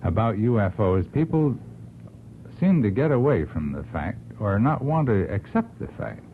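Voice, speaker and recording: Male panellist, deep, earnest, halting phrasing, narrow-band old radio sound with some noise. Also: sing-song. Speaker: panel guest. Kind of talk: earnest discussion on talk radio.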